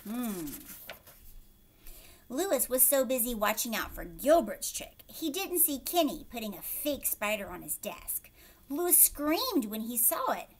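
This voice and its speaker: Woman, children's read-aloud, expressive teacher style, high voice, slow pace, explanatory asides. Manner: expressive, checks understanding with questions to young listeners. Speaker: teacher reading a picture book aloud to children.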